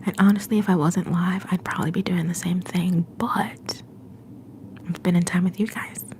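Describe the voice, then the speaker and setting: A young woman hosting a livestream from home, whispering softly and affectionately to her viewers. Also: fairly low pitch, steady tone, normal speed, slight background noise. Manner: soft whisper, affectionate and encouraging. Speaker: livestream host.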